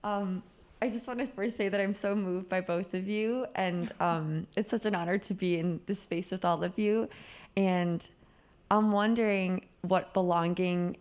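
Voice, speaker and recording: A woman's voice: medium pitch, steady tone, measured pace, a little mellow. A woman in the audience asking an earnest, somewhat tentative question at a live talk.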